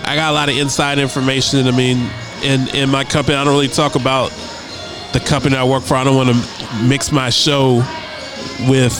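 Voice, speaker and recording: A man's voice: medium pitch, little pitch movement, a little fast. A man hosting a casual internet radio show, talking in a laid-back way and often repeating words as he thinks aloud. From United States. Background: music playing.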